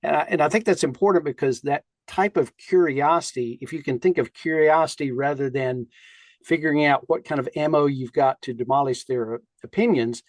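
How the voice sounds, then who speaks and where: A man co-hosting a conversational podcast, explaining his thoughts in a measured, reflective way. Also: mid-range voice, darkish tone, dry recording. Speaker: co-host.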